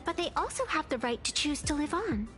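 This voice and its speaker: A woman's voice: sits high, sing-song, brisk, a bit bright. A woman voicing a playful, philosophical young female game character who teases coolly. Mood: reflective. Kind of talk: video game voice acting. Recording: faint game music behind.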